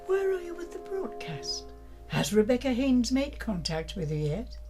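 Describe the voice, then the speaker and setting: A woman playing a grave, deliberate figure who cautions a child, in dramatic dialogue. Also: fairly high pitch, huge pitch swings. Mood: urgent. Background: faint music.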